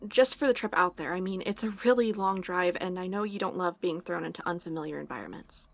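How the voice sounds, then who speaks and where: A woman's voice: on the low side, fast, creaky. A woman playing a teasing, stubborn, protective small-town young woman in audio drama dialogue, with a dry manner. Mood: concerned.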